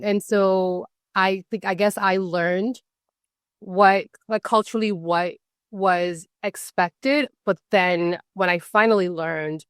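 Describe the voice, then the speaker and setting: A woman's voice: on the low side, fairly bright tone, no room sound, clean studio sound. A woman speaking as a guest on a podcast, explaining her work as a financial social worker in a thoughtful, conversational way and often checking in with "right?". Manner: thoughtful, leans on "right?" tag questions and "like" fillers. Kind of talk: casual podcast interview.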